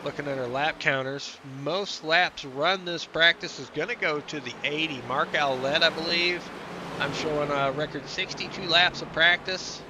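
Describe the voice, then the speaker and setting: A congested man with a slightly high, nasal voice talks through a sim race in easy play-by-play fashion. He reads out lap times and positions in a relaxed, chatty way. Steady noise sits behind him in the recording. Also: moderate pace. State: congested, under the weather. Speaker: sim racing commentator. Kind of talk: live race broadcast commentary.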